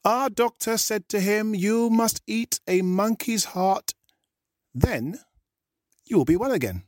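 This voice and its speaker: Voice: medium pitch, animated, slow, darkish tone, no room sound, clean studio sound, voice pushed up. A storyteller voicing a gullible, simple-minded shark in a children's tale. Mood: earnest. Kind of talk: storybook reading.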